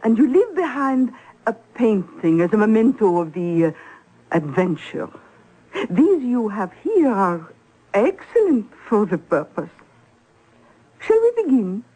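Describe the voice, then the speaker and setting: An actress playing a worldly, flirtatious, haughty salon madame of Paris in a radio drama, with coy, imperious, drawn-out phrasing. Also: female voice, a little high, sing-song, warm tone, slightly rough, heavily acted, rough recording. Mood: seductive. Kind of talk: old-time radio drama acting.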